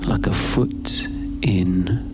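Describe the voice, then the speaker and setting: A man giving a slow, scripted first-person spoken-word narration in a hushed, deliberate way, pausing mid-phrase. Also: deep voice. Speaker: performer of own monologue. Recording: loud music over the voice. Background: loud music.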